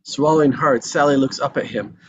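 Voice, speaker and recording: A man narrates stage directions for a script table read. His voice sits at a medium pitch, with slight background noise on the recording. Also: fairly even intonation, fairly quick, highs cut off.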